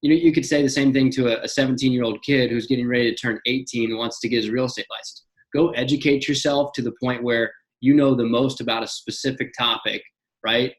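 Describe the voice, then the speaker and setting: A young man in his twenties, a podcast guest talking in an energetic casual way, often repeating and restarting words. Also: medium pitch, fast talker, low-quality recording. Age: young adult. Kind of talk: casual interview chat.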